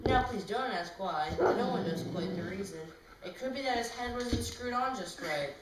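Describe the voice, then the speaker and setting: A woman's voice: fairly high pitch, expressive, moderate pace. Echoey, distant woman reading a storybook. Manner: informal, with asides to people nearby. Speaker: reader of a storybook. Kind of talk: reading a children's rhyming storybook aloud. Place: echoey room, away from the mic. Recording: very echoey room, distant mic, clear noise.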